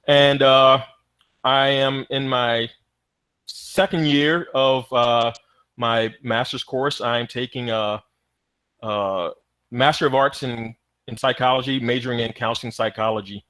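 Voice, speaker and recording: A young man from the United States hosts a student cultural event. His voice sits at a middle pitch, and he talks loosely, stopping often to search for words. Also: smooth. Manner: relaxed, halting, many uhs. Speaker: host of an online student event. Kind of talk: casual hosting with hesitant fillers.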